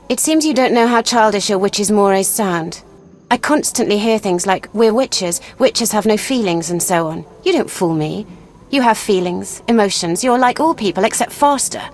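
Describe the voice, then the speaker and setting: A woman playing a proud, vengeful young noblewoman in a fantasy video game, speaking earnestly and deliberately. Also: mid-range voice, close to an everyday voice. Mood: frank, challenging. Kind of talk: video game dialogue acting.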